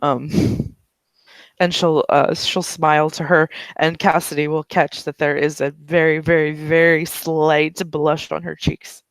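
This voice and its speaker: Gravelly game master narrating a roleplay. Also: mid-range voice, level tone, a little slow, no room sound. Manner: rambling, with many fillers.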